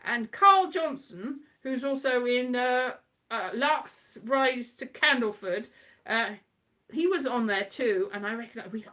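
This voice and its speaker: A middle-aged woman from Wales with a bright, fairly high voice talks off the cuff. Her pitch swings about freely as she drifts from one thought to the next, muttering little asides to herself in a scatty, casual monologue. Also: expressive, unhurried. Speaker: writer and performer, host.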